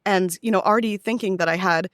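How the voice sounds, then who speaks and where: A young woman with an American accent talks in a casual, chatty storytelling way. Her pitch is average for a woman, and the sound is dry with very little room on it. She comes across as an interview guest in relaxed conversation. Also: fast talker, dry recording. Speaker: podcast guest, touring musical theatre actress.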